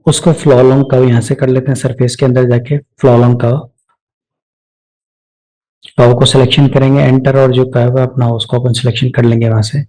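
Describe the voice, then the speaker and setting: A man with a dark, soft-edged voice walks through software steps as an instructor. He speaks at a middling pitch, explaining off the cuff and dropping in English technical terms as he goes. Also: flat delivery, brisk, poor audio. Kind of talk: step-by-step screen tutorial, spoken off the cuff.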